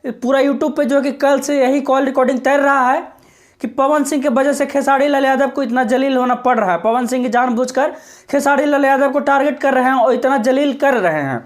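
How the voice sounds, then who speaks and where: A woman's voice: high voice, fairly quick. A woman giving informal social media news commentary, explaining insistently and repeating her points.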